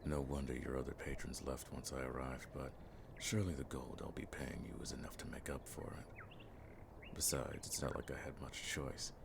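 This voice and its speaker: A man playing a weathered, world-weary veteran monster hunter in a fantasy audio drama, with a gravelly voice and a dry, wryly formal way of speaking. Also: a bass voice, completely flat delivery, moderate pace, noisy background.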